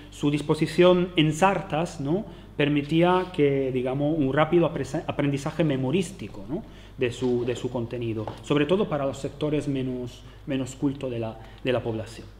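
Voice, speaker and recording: Male lecturer, italian accent, slightly high voice, halting delivery with lots of fillers. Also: darkish tone. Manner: hesitant, many fillers and restarts, tag questions. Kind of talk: academic conference talk.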